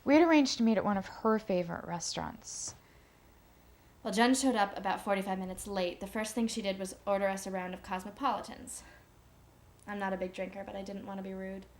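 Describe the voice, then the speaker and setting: A woman's voice: medium pitch, darkish tone, lightly acted. A young woman playing a shy, hesitant daughter giving interview-style testimony, often correcting herself, in a dramatised documentary. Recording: faint background noise.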